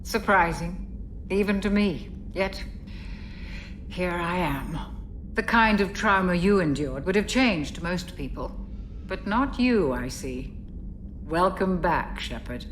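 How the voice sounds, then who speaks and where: A woman's voice: lowish voice, expressive, slight background noise. A woman playing a composed, caring older ship's doctor in a video game, speaking thoughtfully. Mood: composed relief. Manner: measured, thoughtful.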